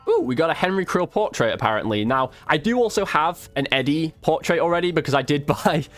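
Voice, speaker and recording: A man's voice: fairly high pitch, lively intonation, brisk, a bit bright, soft background music. A man giving casual, informal game review commentary as a video host, chatting directly to his viewers.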